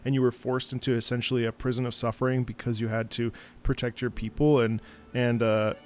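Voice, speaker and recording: A man's voice: medium pitch, level tone, fairly quick, darkish tone. A man giving casual gameplay commentary as a streamer, with a wry, sarcastic way of talking. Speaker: streamer reacting to a game, former opera singer.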